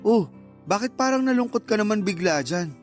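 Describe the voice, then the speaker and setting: A flirty suitor in a radio romance, full of flattery. His voice is notably high for a man. Faint music plays behind him. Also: fairly quick. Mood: teasing, interested. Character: flirtatious, flattering suitor chatting up a taken woman. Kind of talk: radio drama dialogue.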